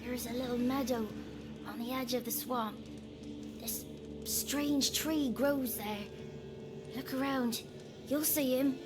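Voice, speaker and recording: High, slow, timid peasant child. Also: female voice, steady tone. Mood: timid, trusting. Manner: halting, naive, rustic grammar. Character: timid, wary little peasant child, plain and guarded. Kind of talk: video game cutscene acting. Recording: music behind.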